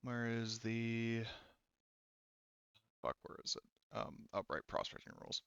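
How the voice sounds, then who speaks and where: A man's voice: mid-range voice, fairly even intonation, a little slow, a bit bright, clear, resonant tone, dry recording, muffled recording. A man acting as game master of a tabletop roleplaying game, talking casually and hesitantly as he searches for words.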